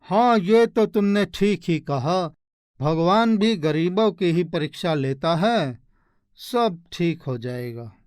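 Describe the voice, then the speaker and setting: A man plays a concerned porter in a storybook tale. His voice is high for a man and very clear, and he talks slowly and earnestly, full of sympathy. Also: animated, dry recording, clean studio sound. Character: concerned male railway porter, sympathetic fellow worker. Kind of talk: animated moral story dialogue in Hindi.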